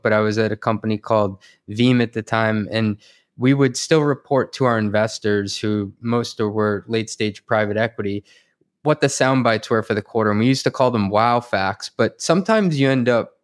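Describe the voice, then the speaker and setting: A man with a medium-pitched voice hosts a podcast interview. His delivery is casual and inquisitive, moving between short remarks and questions for his guest. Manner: informal, inquisitive.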